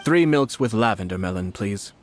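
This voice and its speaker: A man's voice: lowish voice, animated, over music, natural voice. Man acting a composed, refined young lord. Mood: lightly amused. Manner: polite, measured, formal. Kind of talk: video game character dialogue.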